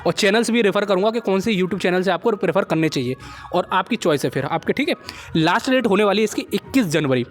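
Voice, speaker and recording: A man's voice: high-pitched, animated, fast, soft background music. A young man with an Indian accent hosting an informal explainer, talking to viewers like friends and often checking that they follow. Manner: chummy, addresses viewers as friends, repeats check phrases. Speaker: job-update video host. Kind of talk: informal explainer of a recruitment notice.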